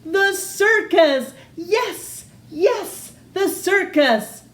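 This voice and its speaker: A woman voicing a grouchy, greedy old witch who screeches and shouts. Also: very high voice, animated, very slow, voice pushed up, full character voice. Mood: excited. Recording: echoey room.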